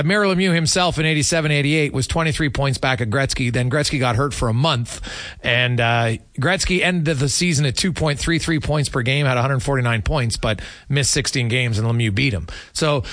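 A man with a slightly high voice hosts a sports talk segment. He reels off figures from memory, pausing to find the details. The sound is very dry, with no room around it. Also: a bit bright, no echo. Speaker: sports radio host. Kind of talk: unscripted hockey talk and analysis.

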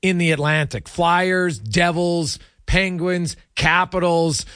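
A man's voice: high voice, takes it slowly, a bit bright, smooth, no echo, studio quality. A man hosting a sports show, chatting about hockey in an informal, chummy, conversational way. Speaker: sports radio host. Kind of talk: casual hockey talk.

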